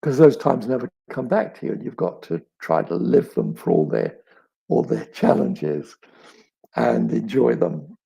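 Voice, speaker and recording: A middle-aged man with a crackly, raspy voice, as if with a frog in his throat, chatting reflectively as an author and telling stories with thoughtful pauses and emphasis. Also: medium pitch, huge pitch swings, mellow. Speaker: author answering audience questions. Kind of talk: reflective live chat, storytelling.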